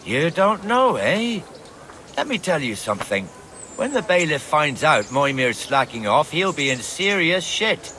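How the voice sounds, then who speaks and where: A man's voice: a little high, very animated, a little slow, sharp tone, slight background noise, full character voice. A man voicing a blunt, bossy town watchman in a medieval video game, with a chatty, hectoring manner. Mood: wry, pressing. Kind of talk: dramatic game cutscene dialogue.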